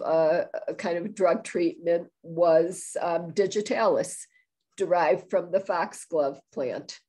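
A woman's voice: lowish voice, a little mellow. An older woman with a cold, sounding a little nasal, giving a chatty, rambling talk over a video call from a room at home, with frequent ums and restarts. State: has a cold. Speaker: guest historian. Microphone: video call webcam.

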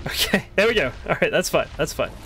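A man giving casual, sweary live commentary as he plays a video game, excitable and exasperated, muttering to himself and at the game's creatures. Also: fairly high pitch, fast talker, soft background music.

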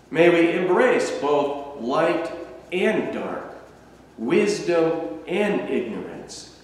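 A middle-aged man, a minister, giving a reflective sermon meditation in a slow, measured way, standing in a small room at home. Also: medium pitch. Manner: slow, measured, contemplative delivery with long pauses. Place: small room at home, standing before a patterned wall hanging beside a candle. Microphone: camera a few feet away. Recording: echoey, roomy sound from a distance.

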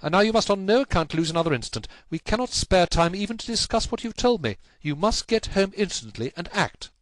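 A man voicing a brilliant, impatient gentleman detective from a storybook, with an urgent, commanding, exclamatory delivery. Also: fairly high pitch, very animated, fast, no room sound, pitched above the performer's own voice. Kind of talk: audiobook character acting.

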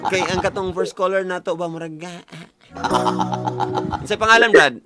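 A man's voice: high voice, fairly even intonation, normal speed. Young Filipino man hosting radio over music. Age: young adult. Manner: playful, teasing. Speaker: radio call-in show host. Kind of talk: casual bantering radio call-in chat. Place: small radio studio. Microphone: studio mic on boom arm, close. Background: music playing.

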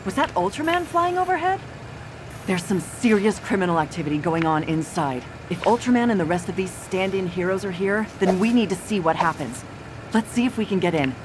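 A woman's voice: medium pitch, animated, background noise, natural voice. An actress playing a curious, determined female reporter in a video game. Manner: brisk, eager.

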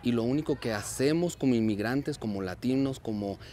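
A man in his thirties from Mexico, a dance and theater group director, speaking earnestly and at a measured pace in an outdoor interview, with frequent fillers and restarts. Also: medium pitch, warm tone. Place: outdoors at a street parade. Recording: outdoor clip with music behind. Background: festive music.

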